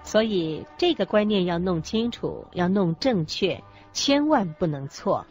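A solemn Buddhist explainer played by a woman speaks slowly and emphatically over soft music in a radio drama. Her intonation is lively, and the recording is slightly dull. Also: mid-range voice, natural voice. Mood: solemn, emphatic. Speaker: co-presenter in a radio lecture drama. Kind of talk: scripted radio drama dialogue, expository. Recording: dull lo-fi audio with background music.

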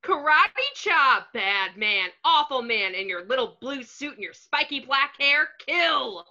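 A flamboyant, commanding villain of the fashion world acted by a woman in a movie comedy read. The delivery is sing-song and swoops widely, with a bright edge, over a slightly muffled video call. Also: sits high, sing-song, measured pace, heavily acted. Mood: fierce, frenzied. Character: flamboyant villainous fashion mogul man, sinister brainwasher.

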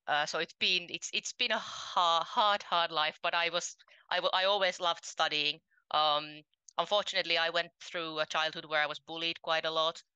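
A woman's voice: deep voice, bright, forceful tone, clear, resonant tone, dry recording, lo-fi sound. A woman in her twenties from Finland, a podcast guest speaking candidly in a casual interview over a headset mic from home, with frequent ums. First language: Finnish. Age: 20s. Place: home room, indoors.

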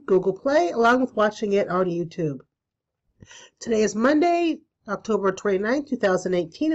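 A woman in her forties with a stuffy cold, hosting a casual spiritual podcast from a small room, chatty and full of ums and pauses. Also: fairly high pitch, muffled recording. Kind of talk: casual solo podcast talk.

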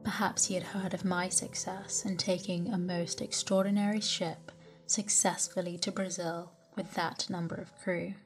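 A woman narrating a classic sailing memoir as a sleep story, reading slowly and softly and dropping into whispers at times. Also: fairly low pitch. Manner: slow, soft, calm reading with whispered stretches. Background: soft music.

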